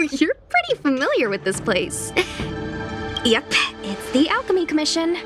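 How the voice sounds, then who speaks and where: A chatty young game adventurer. She has a high voice and an informal, conversational manner, with music clearly underneath. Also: wildly swooping pitch, unhurried, full character voice. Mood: curious, casual. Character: casual, chatty, youthful girl adventurer. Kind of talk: game dialogue.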